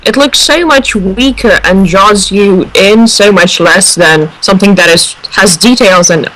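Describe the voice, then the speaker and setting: Bright-voiced woman reasoning things out. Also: medium pitch, measured pace, rough recording. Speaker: radio show panelist. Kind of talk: casual fan discussion.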